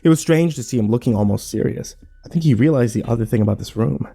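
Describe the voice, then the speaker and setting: A man playing a grim, fearful survivor, narrating his ordeal in a brooding, measured first-person horror style. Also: medium pitch, gravelly, warm tone. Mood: grim, uneasy.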